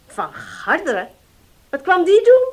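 A woman's voice: huge pitch swings, a little slow, full character voice. An actress playing a scolding, penny-pinching middle-aged mother in a 1970s radio play. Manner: scolding, shrill.

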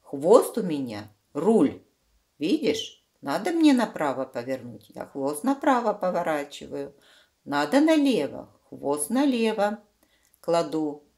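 A woman as a patient storybook fish, unhurried. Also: low-pitched, big pitch swings, warm tone, exaggerated voice. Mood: firm. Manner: firm, instructive. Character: practical, patient fish explaining its tail.

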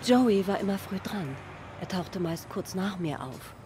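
An actress playing an earnest, wistful diner waitress in a video game, speaking sincerely and a little hesitantly. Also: female voice, medium pitch, animated, a little slow, noisy background, natural voice. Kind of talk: German game dub, dramatic dialogue.

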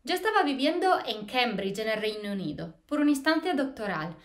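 A woman in her thirties from Italy with an Italian accent, a postdoctoral researcher explaining astronomy to school students in an expressive, lively way, recorded in a small plain room by a camera a few feet away. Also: fairly high pitch, a little fast. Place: small plain indoor room against a wall. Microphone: camera a few feet away, head to waist framing.

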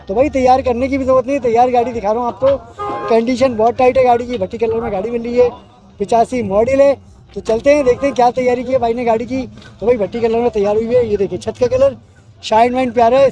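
A man's voice: extremely high pitch, warm tone. A young man from Pakistan, walking through an outdoor car market and chatting into a handheld mic as he shows off a car. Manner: chatty, repeats "bhai" and "okay". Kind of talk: walkthrough commentary. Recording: dull lo-fi sound.

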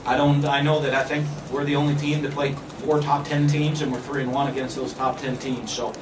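A man in his forties, a football coach answering postgame questions at a press conference, speaking in a measured way with many fillers and restarts. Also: medium pitch, flat delivery. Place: press room with backdrop. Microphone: podium mic, filmed from a distance. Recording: echoey room, far from the camera, background noise.

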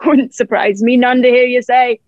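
A young woman playing a genial, flattering old one-legged sea cook in a radio play, with folksy, deferential sailor talk. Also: fairly high pitch, normal speed, no echo, dull audio, lightly acted. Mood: cheery, flattering.

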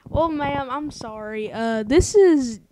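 A woman with a fairly high, very soft-edged and mellow voice speaks casually. She is hesitant and placating, like a caller playing at customer service. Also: takes it slowly, no room sound. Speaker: prank caller.

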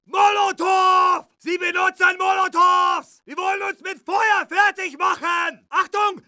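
An actor playing an urgent German Second World War infantry soldier in a video game, barking short battle callouts and warnings. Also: male voice, very high voice, fairly even intonation, unhurried, sharp tone, no room sound, shouting, studio quality, full character voice. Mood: urgent, alarmed.

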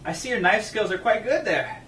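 A man's voice: high-pitched, animated, brisk, fairly bright tone, slight background noise. A man in his thirties hosting a comedic cooking show, upbeat and agreeable, often laughing. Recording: echoey room, distant mic.

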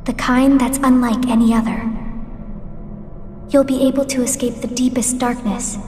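A woman playing a gentle, encouraging teenage girl in a video game cutscene. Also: a little high, steady tone, moderate pace, a little hiss or hum, natural voice. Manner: soft, encouraging. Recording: echoey, dreamlike reverb with music.

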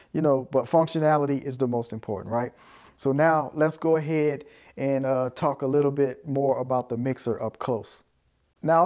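A man's voice: medium pitch, darkish tone. Man casually explaining audio gear. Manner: explanatory, often ends points with "right?". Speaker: presenter of an audio gear video. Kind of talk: casual hands-on gear walkthrough. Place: small carpeted room indoors.

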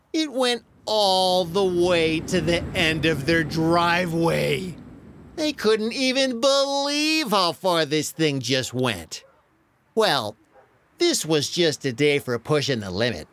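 Animated, lowish-voiced woman telling children's stories. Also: slight background noise. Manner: expressive, acts out excited exclamations. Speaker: children's story podcast narrator.

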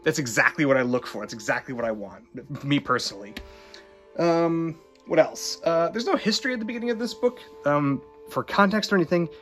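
A man giving a casual spoken book review, relaxed and chatty with lots of ums and little laughs. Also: a little high, a little fast, soft background music. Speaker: book reviewer and swordsmanship instructor.

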